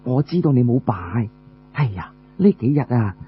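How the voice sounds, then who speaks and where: A restless young warrior woman acted by a male storyteller exclaims with impatience. The voice is very husky and animated. The audiobook recording is dull, with hum. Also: mid-range voice, moderate pace, soft background music, voice pushed down. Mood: frustrated.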